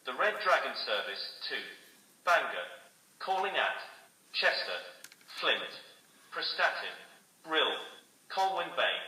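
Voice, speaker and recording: Very bright, echoey male station announcer. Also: mid-range voice. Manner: formal, segmented delivery pieced from recorded words. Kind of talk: scripted station platform announcement. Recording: echoey station tannoy, distant from mic.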